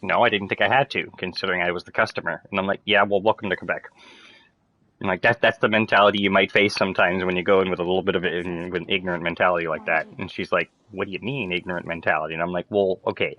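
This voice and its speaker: A young man who grew up speaking French in French Canada rants to his friends with fast, rambling speech full of "like" and swearing. His voice sits very low, but it has a bright, forceful edge. The sound is dry and close, with no room echo. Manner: fast, rambling, swears a lot, many "like" fillers. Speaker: streamer chatting with friends. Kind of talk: casual rant to friends.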